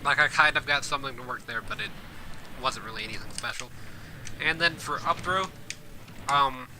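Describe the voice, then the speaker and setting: A man giving casual gameplay commentary as a streamer, rambling with fillers and self-corrections, his voice a bit hoarse. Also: mid-range voice, moderate pace, noisy background. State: hoarse from coughing. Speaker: streamer and game guide host. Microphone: desk mic.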